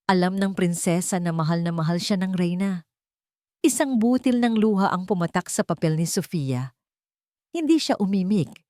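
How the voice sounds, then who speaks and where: A woman's voice: mid-range voice, mellow, no echo, studio quality. A woman with a Filipino accent reading a scripted dramatic story as its narrator.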